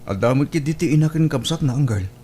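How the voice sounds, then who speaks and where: A man's voice: fairly high pitch, animated, fast, mellow, natural voice. A man acting a weary, dutiful young boyfriend in an Ilocano audio drama, with a subdued, deferential manner. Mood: deferential, troubled.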